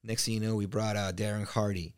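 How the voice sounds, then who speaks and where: A man from Mexico with a Mexican Spanish accent, an immigrant business owner telling stories as a podcast guest, animated, repeating words and using many fillers. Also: on the low side, brisk, clean tone. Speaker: real estate brokerage owner, guest. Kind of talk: casual podcast interview.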